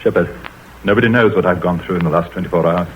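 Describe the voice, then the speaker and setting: Anxious low-voiced country squire male actor, noisy recording. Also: fast talker, close to an everyday voice. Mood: grave, confiding. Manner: uneasy, confiding. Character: anxious, secretive wealthy country squire nearing fifty. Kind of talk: 1930s-40s radio drama acting. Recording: muffled, noisy vintage radio recording.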